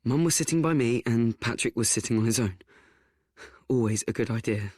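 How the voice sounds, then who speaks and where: A young actor playing an anxious, self-doubting teenage boy, confiding in a halting, stammering radio play monologue. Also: medium pitch, darkish tone, slightly rough, no room sound, studio quality, natural voice. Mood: wry, confiding. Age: young adult.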